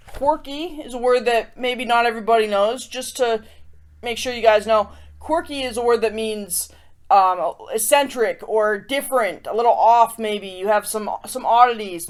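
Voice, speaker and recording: High-pitched woman telling stories for kids. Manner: expressive, addresses young listeners directly. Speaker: host of a children's story time show. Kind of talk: read-aloud picture book storytelling and chatty review for kids.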